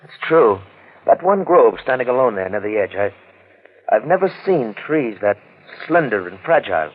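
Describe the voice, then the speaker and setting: Brooding recluse, muffled vintage radio. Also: male voice, medium pitch, very animated. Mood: musing. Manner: halting, grave, intense. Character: Lawson, brooding obsessed wealthy recluse in the jungle. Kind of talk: old-time radio drama acting.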